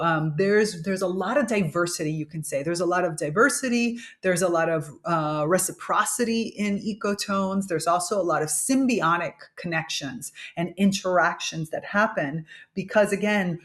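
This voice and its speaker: A woman from Miami hosting a solo podcast, explaining ideas in a thoughtful, unhurried way with frequent fillers and "right?" asides. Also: fairly low pitch. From United States (Miami). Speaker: podcast host. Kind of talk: reflective solo podcast talk.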